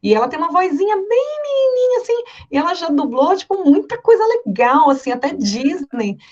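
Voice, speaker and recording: A woman's voice: very high voice, big pitch swings, a little fast, a little mellow. A Brazilian woman, a professional voice-over artist, chatting casually and explaining her work from experience. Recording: slightly dull, muffled audio.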